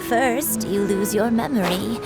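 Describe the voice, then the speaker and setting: A woman voicing a frail, gentle, forgetful old grandmother in a video game, halting and wistful. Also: medium pitch, gravelly, over loud music. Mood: gentle, hesitant. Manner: halting, wistful, soft-spoken.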